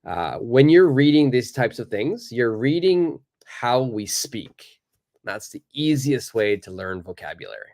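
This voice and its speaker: A male native English speaker teaching a live online English lesson, answering viewers' questions in a relaxed, chatty way with lots of fillers. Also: mid-range voice, unhurried, creaky. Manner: relaxed and conversational, many fillers, acts out little examples.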